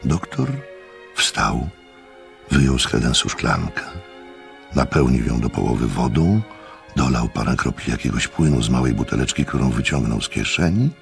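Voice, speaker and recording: An actor playing a thoughtful witness who narrates a radio drama in a dramatic scripted style. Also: male voice, a bass voice, expressive, normal speed, vocal fry, soft background music, full character voice. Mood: grave, observant. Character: thoughtful male narrator, sceptical yet impressed witness.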